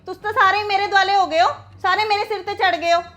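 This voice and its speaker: A woman's voice: very high-pitched, fairly even intonation, rapid-fire, fairly bright tone, echoey room, dull audio, voice pushed up. A woman playing a defiant daughter-in-law in a family drama, indignant at being questioned. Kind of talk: acted family drama dialogue.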